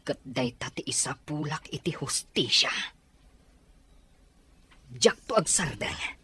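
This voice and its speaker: A man's voice: a little high, lively intonation, slow pace, bright, forceful tone, heavily acted. A man with an Ilocano accent playing a determined young son bent on avenging his father in an audio drama. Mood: resolute. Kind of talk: Ilocano radio-style drama acting.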